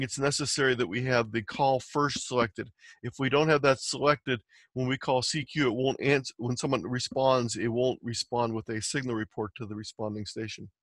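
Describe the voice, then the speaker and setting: A man's voice: medium pitch, a bit bright, no echo. A man giving an instructional slide presentation, explaining things step by step as a teacher would. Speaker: presenter teaching amateur radio software.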